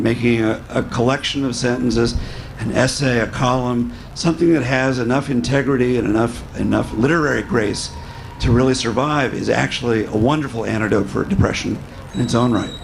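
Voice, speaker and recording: A man's voice: medium pitch, creaky, background noise. A middle-aged man on a panel speaking into a table mic, giving earnest, reflective answers in a large event tent. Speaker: panelist columnist. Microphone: table mic on a stand.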